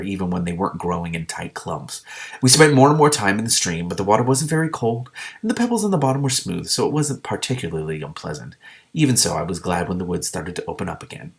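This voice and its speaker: Male story reader, lowish pitch, expressive narration with informal asides. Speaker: host reading a novel aloud with commentary.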